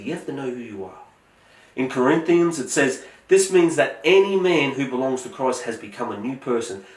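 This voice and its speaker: A man, giving a prepared, earnest speech in a measured, emphatic way. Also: mid-range voice, echoey room, recorded from a distance, low-quality recording. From Australia. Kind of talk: prepared motivational speech with scripture quotes.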